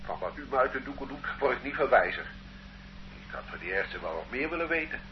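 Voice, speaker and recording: A man's voice: medium pitch, sing-song, fast, close to an everyday voice. An actor playing an ordinary working-class man under arrest in a radio play, bewildered and defensive. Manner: bewildered, defensive, plain-spoken. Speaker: suspect. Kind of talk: radio drama acting. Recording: dull lo-fi old radio recording with faint noise.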